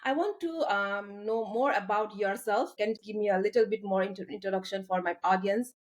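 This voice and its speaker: A woman from Nepal with a Nepali accent hosts a casual podcast interview, phrasing her questions in a halting, hesitant way. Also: medium pitch. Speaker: podcast host.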